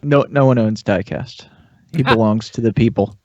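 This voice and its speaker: A man's voice: mid-range voice, normal speed, a little mellow, dry recording. A man on a podcast panel chatting casually over a dull lo-fi connection. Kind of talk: casual chat.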